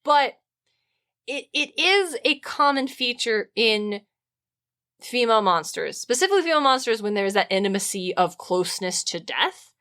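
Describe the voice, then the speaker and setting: A woman co-hosting a podcast in a casual comedic discussion, animated and opinionated. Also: on the high side, moderate pace. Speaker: podcast co-host.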